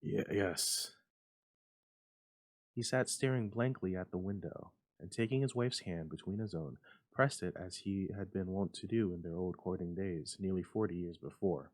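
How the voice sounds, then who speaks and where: Low-voiced man narrating a story. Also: moderate pace, darkish tone, no echo. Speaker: story reader. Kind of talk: dramatic literary narration.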